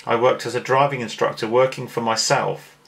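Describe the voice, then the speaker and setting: A man's voice: medium pitch, steady tone, a little fast, creaky, fairly bright tone. A middle-aged man with an English accent, chatting in an easygoing way over amateur radio. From England. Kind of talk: casual radio contact chat.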